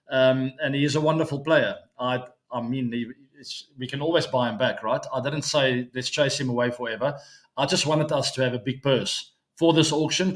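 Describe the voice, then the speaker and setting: A man in his forties, Afrikaans his first language, talks straight to his viewers in a loose, friendly way. He fills gaps with ums and uhs and laughs easily, his voice sitting in an ordinary middle pitch. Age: 40s. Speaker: cricket show host. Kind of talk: casual solo chat to viewers.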